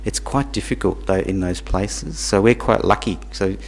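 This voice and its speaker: Deep-voiced guy teaching buddhism to a small group, stops and restarts a lot, asks them questions. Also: creaky. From Tanzania. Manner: halting, repeats words, asks the audience questions. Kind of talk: dharma talk to a small group.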